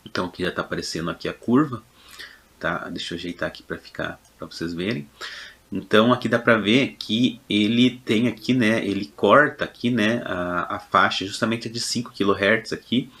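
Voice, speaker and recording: Low-voiced Brazilian man explaining. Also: sharp tone. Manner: hesitant, explanatory, frequent check-in tags. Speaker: electronics lecturer. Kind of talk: informal technical tutorial narration over a demonstration.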